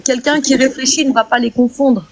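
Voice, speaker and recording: A woman's voice: high-pitched, steady tone, brisk, a crowd in the background. A woman lecturing insistently in a heated religious debate on a live stream, repeating her key points. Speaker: guest speaker in a live debate.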